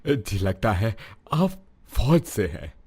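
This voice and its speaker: An actor voicing a poor, humble roadside cook and worried father in a cartoon story, polite and soft-spoken. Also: fairly low pitch, very animated, slow pace, breathy edge, heavily acted. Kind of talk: cartoon voice acting.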